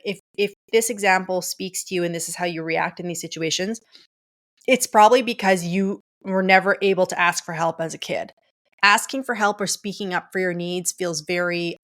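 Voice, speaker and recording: A woman's voice: on the low side, a bit bright. A young adult woman coaching listeners through a skill step by step, often checking in with "okay?". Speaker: coach and podcast host. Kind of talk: casual coaching talk.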